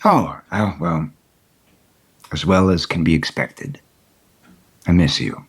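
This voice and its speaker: Deep-voiced, tender, hesitant developer. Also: male voice, slow pace, slightly rough, heavily acted. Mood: wistful, hesitant. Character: wistful wealthy male property developer, longing and apologetic. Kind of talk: audio soap opera acting. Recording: music bed under the dialogue.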